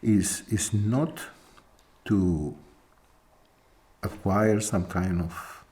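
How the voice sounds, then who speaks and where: Slow, low-voiced man teaching softly. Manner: slow, reflective, with pauses and soft laughs. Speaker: spiritual teacher. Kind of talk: spiritual talk to an audience.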